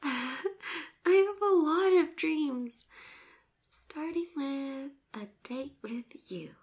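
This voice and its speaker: A woman's voice: high voice, animated, extremely slow, a little mellow, full character voice. A woman voicing a shy, flustered popular schoolgirl with a crush in a romantic roleplay, sweet but insistent, with bashful stammers and whispered asides. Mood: bashful, hopeful.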